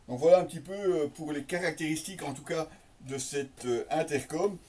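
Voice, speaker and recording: Distant-miked man, mellow voice. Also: fairly high pitch, slight background noise. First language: French. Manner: hesitant, piecemeal phrasing with frequent drawn-out euhs. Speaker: motorcycle gear reviewer. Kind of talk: unboxing presentation, casual unscripted commentary. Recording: slightly distant microphone.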